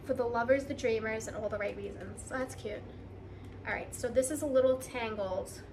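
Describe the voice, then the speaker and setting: Female vlogger, excited and conversational, slightly high pitch, casual unboxing delivery, faint noise on the recording. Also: moderate pace. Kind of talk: casual unboxing chat to camera.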